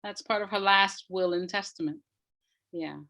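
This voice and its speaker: A woman's voice: mid-range voice, normal speed, sharp tone. A woman speaking as a playwright guest in a thoughtful interview, reflective and repeating words for emphasis.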